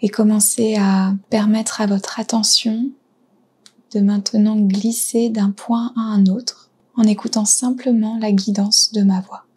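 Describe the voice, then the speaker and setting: Female meditation guide: mellow, very husky and breathy tone, soft soothing phrasing with room to pause. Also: mid-range voice, flat delivery. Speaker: yoga nidra guide.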